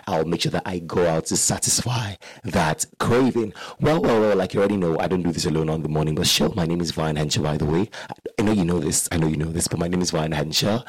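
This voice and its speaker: A Nigerian man hosts a morning radio show with upbeat, repetitive patter. His very low voice is hoarse and husky from losing it. The sound is close and dry, like a studio mic. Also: no room sound, low-quality recording.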